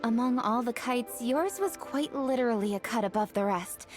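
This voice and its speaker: A woman's voice: fairly high pitch, normal speed, over music, close to an everyday voice. A woman playing a poised, respectful young female official in a video game, speaking politely and with formal assurance. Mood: respectful, assured.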